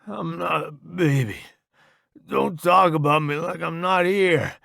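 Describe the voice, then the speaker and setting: A man voicing a gravely injured soldier in an audiobook, resigned and wry, his speech slow and labored. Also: on the high side, lively intonation, no room sound, clean studio sound, voice pushed up, heavily acted. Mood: indignant.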